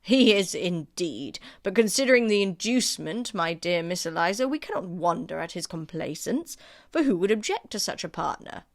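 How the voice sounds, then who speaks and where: A woman voicing a gallant, garrulous older gentleman in an audiobook, courtly and effusively flattering. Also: lowish voice, normal speed. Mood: flattering, coaxing. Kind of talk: audiobook character acting.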